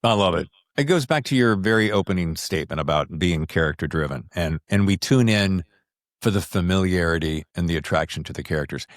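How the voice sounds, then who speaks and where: A man's voice: low-pitched, expressive, a little fast, no room sound, studio quality. A man hosting a casual interview podcast, chatty, with rambling lead-ins to his questions. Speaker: podcast host interviewing an author.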